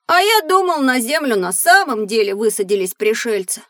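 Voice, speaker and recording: A naive, eager schoolboy in an educational audio drama. The boy's voice is high and expressive, full of curiosity. The sound is close and dry, with little room in it. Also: normal speed, no room sound. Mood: wistful. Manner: inquisitive, expressive.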